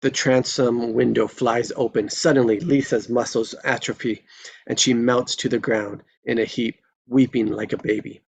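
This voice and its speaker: A man's voice: medium pitch, fairly even intonation, normal speed, gravelly, darkish tone, breathy edge. A man reading stage directions as scripted narration at a live table read.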